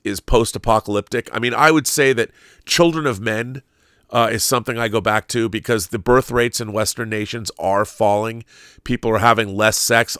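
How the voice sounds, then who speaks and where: An American man hosting a live show, talking conversationally with halting repeats and emphatic stresses as he reads aloud and riffs. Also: mid-range voice, pushed and bright, clean tone, dry recording, clean studio sound. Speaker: show host reading viewer questions live. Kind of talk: conversational live-stream commentary, reading aloud and riffing.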